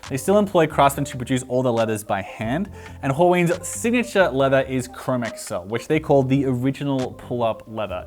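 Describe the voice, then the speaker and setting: A chatty Australian man in his thirties hosts a relaxed explainer, racing through his points with plenty of "like" and "um". He is recorded close on a lapel mic in a bright studio. Light background music sits under his voice. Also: mid-range voice. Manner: chatty, fast, lots of "like" fillers. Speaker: boot reviewer host. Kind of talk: casual explainer. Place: bright indoor studio at a table.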